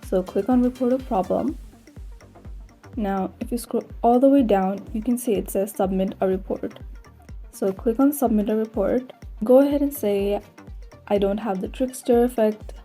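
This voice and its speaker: A woman with a very mellow, dark voice and a husky edge narrates a how-to guide. She gives plain instructions one step at a time, in the style of a tutorial host. Music plays behind her. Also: medium pitch, normal speed.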